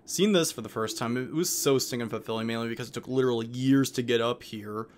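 A man's voice: medium pitch, fast, slight background noise. A man hosting a let's play with casual video game commentary, tossing in jokey, self-deprecating asides. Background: video game music.

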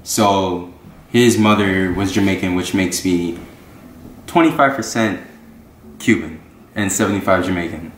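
A teenage boy from Miami introducing himself for an audition, in a measured, steady way. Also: low-pitched, reverberant, slight background noise. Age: teens. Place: living room with bookshelves.

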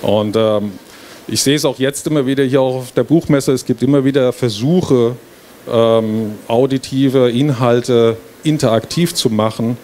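A man's voice: medium pitch, measured pace. A middle-aged man, a university lecturer, giving an informal explanatory presentation into a handheld microphone on a stage. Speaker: university professor presenting a project. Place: stage in a book fair hall before a small audience. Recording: steady trade-fair hall noise.

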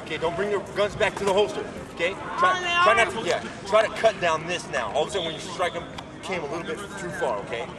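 A man's voice: high-pitched, big pitch swings, quick pace, a loud crowd. A man coaching young football players outdoors with short, encouraging commands, heard from a distance. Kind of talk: coaching drills on a field. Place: outdoor football field at a camp.

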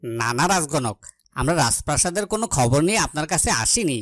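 A man's voice: on the high side, sing-song, brisk, a bit bright, no echo. A man playing an earnest, loyal palace guard in a fairy-tale cartoon, speaking deferentially. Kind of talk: cartoon voice acting.

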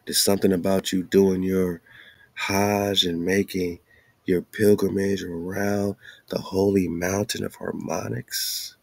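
A man's voice: low-pitched, flat delivery, slow pace, creaky, no echo. A man giving an informal, slangy commentary, asking rhetorical questions and repeating phrases for emphasis. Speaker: host. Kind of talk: informal commentary monologue over on-screen maps and documents.

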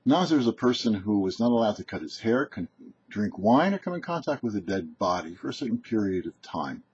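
An older man, a rabbi in his sixties, giving a short, deliberate religious lesson in an explanatory, didactic way. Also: lowish voice, sing-song, darkish tone, breathy edge. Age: older, about 60s. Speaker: rabbi hosting a weekly Torah portion show. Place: indoor home office or study. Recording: muffled, dull webcam audio.

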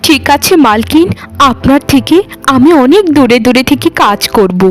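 A woman's voice: high voice, expressive, moderate pace, faint music behind. A woman voicing a poor, loyal maid in a cartoon, who speaks humbly and respectfully to her mistress. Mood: pleading. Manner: pleading, respectful. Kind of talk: cartoon voice acting.

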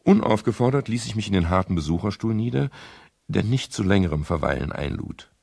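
An actor playing a dry, laconic private detective who narrates his own case in a measured, wry way in a seventies radio crime play. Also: fairly low pitch, lively intonation, creaky, darkish tone, husky, no room sound, full character voice. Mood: dry narration. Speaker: actor and first-person narrator in a radio drama. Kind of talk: 1970s German radio crime drama acting. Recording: noisy old radio recording with clicks.

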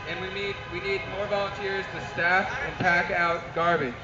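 A man's voice: high-pitched, level tone, noisy background. A man from the food committee listing practical needs at an outdoor assembly. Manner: brisk, practical list of needs. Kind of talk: public assembly announcement. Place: outdoor city park assembly. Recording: dull, echoey outdoor camera recording.

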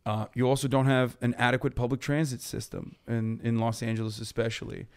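A man in his thirties from Turkey, a podcast guest ranting about politics in a blunt, profane way in a studio on a boom mic. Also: little pitch movement, smooth. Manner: blunt, profane, rapid explaining. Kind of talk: heated political chat. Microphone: boom mic on stand.

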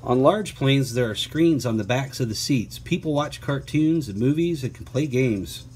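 Male teacher for picture book read-aloud, mid-pitched, breaking off for conversational asides and questions to kids. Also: expressive, normal speed, darkish tone, slight background noise. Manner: prompts listeners with questions and asides. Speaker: teacher reading aloud to children.

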